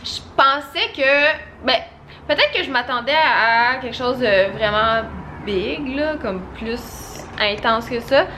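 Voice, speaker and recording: A young woman with a Québécois French accent, chatting casually to camera as a vlogger and giving a relaxed film review. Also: fairly high pitch, takes it slowly, slight background noise. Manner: chatty and animated, full of casual fillers.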